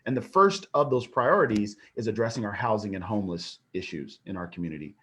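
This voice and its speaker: Measured man city official. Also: lowish voice, animated, pushed and bright. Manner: measured, speech-like delivery. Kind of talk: prepared panel answer.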